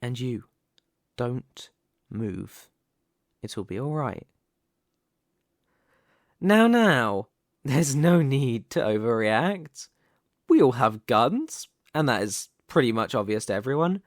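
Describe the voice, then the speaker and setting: A man playing a commanding, possessive mafia boss who is devoted to his lover, in an ASMR roleplay, with a measured, persuasive delivery. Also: medium pitch, very animated, no echo, clean studio sound. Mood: tender, protective.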